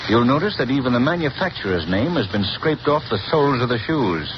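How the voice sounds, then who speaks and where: An actor playing a composed gentleman sleuth in a 1930s radio drama, speaking in a calm, assured and precise way. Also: mid-range voice, fairly quick, close to an everyday voice. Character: composed wealthy male amateur sleuth. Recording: muffled 1930s radio broadcast with hiss.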